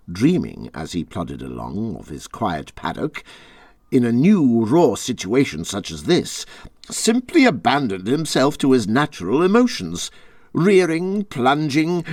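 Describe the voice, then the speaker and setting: A male audiobook narrator reading literary fiction with dramatic, deliberate phrasing. His mid-pitched voice rises and falls widely, and the recording is dry with very little room sound. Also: a little mellow, no echo. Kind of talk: expressive literary storybook narration.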